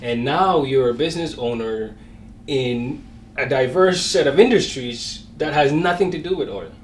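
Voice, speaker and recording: A man's voice: medium pitch, normal speed, slight background noise. A man hosting an interview show, friendly and hesitant with frequent fillers. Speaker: show host. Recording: distant mic in an echoey room with faint music.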